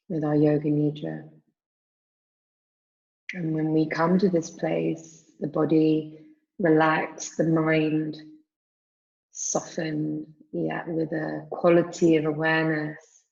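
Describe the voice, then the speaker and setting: Very slow, low-voiced woman guiding meditation. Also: vocal fry, muffled recording. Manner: calm, soothing, measured. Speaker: yoga nidra meditation teacher. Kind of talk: guided meditation, spoken slowly with long pauses.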